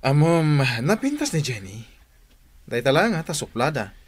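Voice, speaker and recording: A man's voice: fairly high pitch, wildly swooping pitch, moderate pace, slightly rough, exaggerated voice. An actor with a Filipino accent playing a teasing but troubled young man confiding in his buddy in a radio drama.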